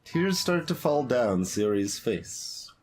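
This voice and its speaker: A man's voice: medium pitch, very animated, slow, a little mellow, slight background noise. A man streaming a game, reading the narration aloud expressively and adding casual off-the-cuff commentary. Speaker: streamer doing a blind voiced playthrough. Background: music.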